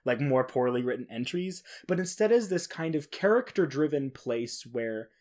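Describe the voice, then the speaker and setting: A man's voice: mid-range voice, darkish tone. A man hosting a podcast alone, talking casually in an informal, enthusiastic manner. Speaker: podcast host. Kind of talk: casual solo podcast talk.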